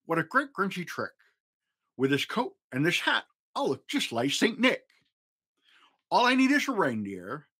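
A man's voice: high voice, wildly swooping pitch, unhurried, long pauses, pushed and bright, breathy edge, voice pushed up, heavily acted. A man voicing a sour, scheming old storybook creature with a snarling, sneering manner. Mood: scheming, gleeful. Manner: snarling, growling, hissing sneer.

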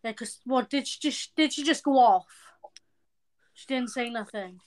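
A woman's voice: fairly high pitch, normal speed. A young woman with a South Wales Valleys accent, hosting a livestream from home on her phone, chatting crudely, swearing constantly and tripping over her words. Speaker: livestream host. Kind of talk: casual crude chat. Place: home indoors. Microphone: phone front camera close up.

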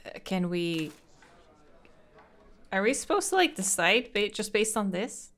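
A woman's voice: medium pitch, big pitch swings, measured pace, a bit bright. A young woman with a Dutch accent chatting casually while playing a game, rambling and peppering her talk with "like.". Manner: chatty, rambling, with frequent "like" and little laughs. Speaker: streamer. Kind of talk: casual let's-play commentary.

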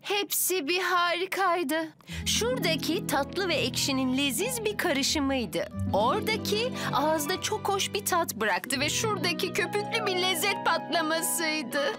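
A woman's voice: extremely high pitch, lively intonation, measured pace. A woman playing a friendly, capable builder in a children's cartoon, with an enthusiastic delivery. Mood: delighted. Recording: loud music behind the voice.

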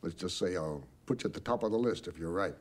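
An actor playing a gruff senior judge at a card table in a film, blunt, folksy and fond of ribbing. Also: male voice, sits low, lively intonation, fast. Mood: gruff, needling. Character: gruff, needling senior male judge at cards. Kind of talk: naturalistic film acting.

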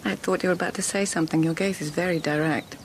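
A woman's voice: on the low side, fairly even intonation, fast, a little hiss or hum, natural voice. An actress playing a shrewd, composed lady of the court in a radio drama, formal and direct. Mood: poised, guarded.

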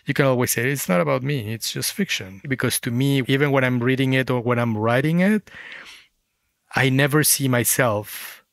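A man's voice: mid-range voice, normal speed, creaky, no echo. Man reflecting in a dry, close interview. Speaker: guest, fiction writer.

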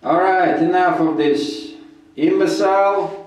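A man's voice: high voice, fairly even intonation, darkish tone. A middle-aged man giving a contemptuous, insulting commentary while reacting to a sermon, filmed at a desk in a room crowded with ornaments. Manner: contemptuous, insulting, slow and deliberate. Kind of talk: scornful commentary. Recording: very echoey room.

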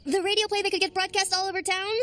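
A bossy, ambitious preteen cartoon girl, her very high voice brisk and commanding as she takes charge. She sounds precise and driven. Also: little pitch movement, pushed and bright.

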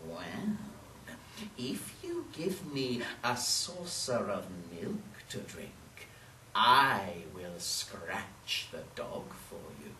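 A woman storyteller voicing an aloof, bargaining female cat in a children's tale, hushed, breathy and measured. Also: a bass voice, sing-song, voice pushed down, full character voice. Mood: sly bargaining. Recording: roomy, distant mic, faint noise.

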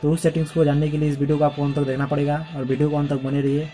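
A man's voice: a little high, monotone, racing, warm tone, soft background music. A man narrating a casual step-by-step phone tutorial in a repetitive, instructional manner. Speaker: tech tutorial host.

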